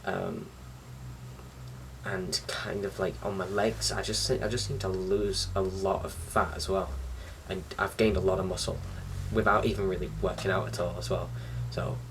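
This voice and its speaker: A man's voice: low voice, level tone, moderate pace, vocal fry. A young man talking casually to camera as a vlogger, in an informal, slightly hesitant and rambling way. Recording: distant mic with faint background noise.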